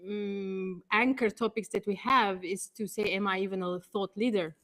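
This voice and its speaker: A woman's voice: medium pitch, fairly even intonation, normal speed, a bit bright. A woman co-host on a video-call panel, thoughtfully explaining her work on thought leadership. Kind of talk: casual panel conversation.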